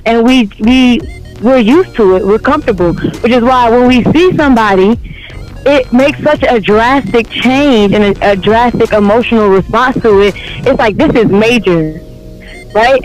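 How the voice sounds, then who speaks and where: A man's voice: very high voice, normal speed, faint music behind, low-quality recording. A man in casual conversation, talking emphatically, with frequent laughter and rhetorical questions. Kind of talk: casual chat.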